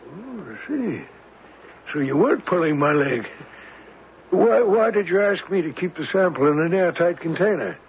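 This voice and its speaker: An actor playing a scholarly older professor in a 1970s radio drama, speaking with a hesitant, deliberate manner. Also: male voice, high-pitched, big pitch swings. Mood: intrigued. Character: scholarly older male professor, absent-minded yet eager. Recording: noisy, tinny old radio broadcast.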